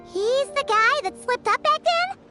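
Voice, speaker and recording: A woman voicing a tiny, excitable, childlike fairy companion in a video game, with a squeaky voice. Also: measured pace. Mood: curious. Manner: speaks of self in third person. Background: music.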